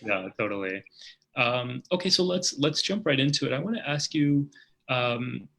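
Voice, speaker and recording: A man's voice: mid-range voice, expressive, normal speed, gravelly, a bit bright. A man hosting an interview, speaking earnestly with frequent fillers and restarts. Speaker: host.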